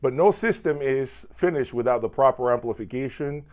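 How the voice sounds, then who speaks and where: A man's voice: medium pitch, moderate pace, a little mellow. A man hosting an on-location product interview, speaking enthusiastically with salesman-like emphasis. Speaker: video channel host interviewing exhibitors. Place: hotel exhibit room at an audio show.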